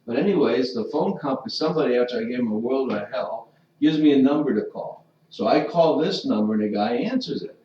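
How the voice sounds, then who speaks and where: A man's voice: medium pitch, animated, fairly quick, far from the mic. Rambling man on a roomy lo-fi mic. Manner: rambling storyteller, joking. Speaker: radio co-host. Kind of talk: casual radio chat. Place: Las Vegas radio studio.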